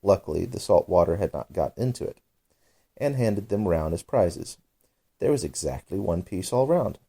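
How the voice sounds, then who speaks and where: Male narrator, bedtime storybook reading, deep pitch, expressive rise and fall. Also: normal speed, vocal fry, husky.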